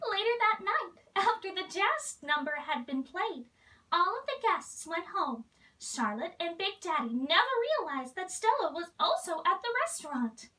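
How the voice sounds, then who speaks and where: A woman reads a children's story aloud in an expressive storybook style, playing the role of a princess. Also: very high-pitched, moderate pace, distant mic, rough recording.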